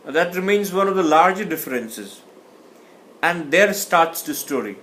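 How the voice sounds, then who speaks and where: A man's voice: a little high, expressive, moderate pace, a bit bright, a little hiss or hum. A man teaching a communication class, speaking thoughtfully and often restarting or repeating words. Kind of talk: explanatory lecture.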